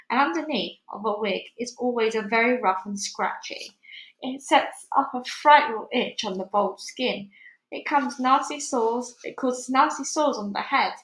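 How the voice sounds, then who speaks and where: A woman's voice: fairly high pitch, a bit bright. A woman voicing a stern, knowing old grandmother in a storybook, brusque and instructive. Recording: roomy, echoey home recording.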